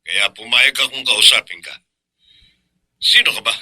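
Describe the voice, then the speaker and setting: An actor playing a regretful older brother in a Filipino radio drama, speaking earnestly. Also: male voice, mid-range voice, normal speed, bright, forceful tone, breathy edge. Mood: guarded. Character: remorseful older brother and uncle working abroad, duped in love.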